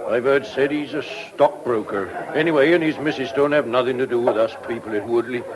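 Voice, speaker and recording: An actor playing a chatty, easygoing village publican in a radio drama. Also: male voice, medium pitch, fairly quick, a crowd in the background, close to an everyday voice. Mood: gossipy. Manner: matey, repetitive tag questions.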